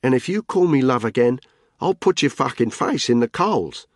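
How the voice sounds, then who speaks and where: A man's voice: medium pitch, animated, moderate pace, no echo, studio quality, pitched above the performer's own voice, lightly acted. A man voicing a tough, blunt Australian woman of about thirty who cuts people down curtly. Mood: cutting. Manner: curt, cutting.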